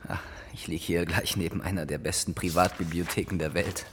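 An actor playing a listless, dazed patient in a radio play, laconic and often whispery. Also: male voice, low-pitched, fairly even intonation, measured pace, fairly bright tone, in a large hall, slight background noise. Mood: weary, polite. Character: listless, dazed male patient in a veterans' hospital.

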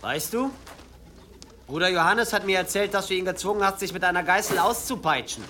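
A man's voice: sits high, brisk, pushed and bright, slight background noise. A man playing a defiant young pilgrim in a radio play, pointed and confrontational. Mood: accusing. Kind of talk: German radio play acting. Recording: faint pigeon ambience.

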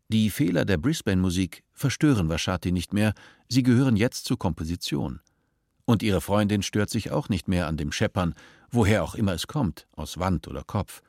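A man narrating a radio play in a measured, sober way. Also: fairly low pitch, a little mellow, no room sound, clean studio sound.

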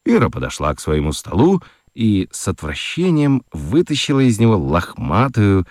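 A man narrating a children's radio play as an expressive, dramatic storyteller. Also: on the low side, normal speed, no room sound, studio quality.

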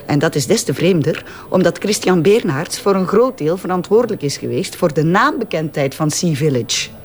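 A woman's voice: on the low side, animated, normal speed, darkish tone, natural voice. A woman with a Flemish accent playing a shrewd, confident investigative tabloid journalist in an audio drama, with a knowing, teasing manner. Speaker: actress in an audio crime drama. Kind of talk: naturalistic audio drama dialogue.

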